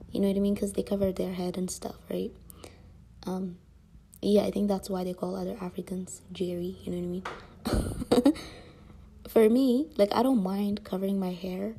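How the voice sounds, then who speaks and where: Husky, gravelly-voiced young woman vlogging. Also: mid-range voice, mellow. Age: young adult. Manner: rambling, frequent fillers, drops into whispers. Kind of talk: casual monologue to camera.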